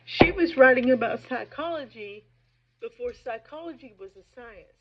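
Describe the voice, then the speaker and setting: A woman giving informal literary commentary in an emphatic, opinionated and somewhat halting way. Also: sits high, animated. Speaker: reader and commentator. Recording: dull, muffled lo-fi recording.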